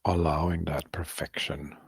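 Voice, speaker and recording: A man leading a guided meditation, speaking softly and calmly in short, halting phrases with long pauses. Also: rumbling low, vocal fry, husky, no echo, clean studio sound.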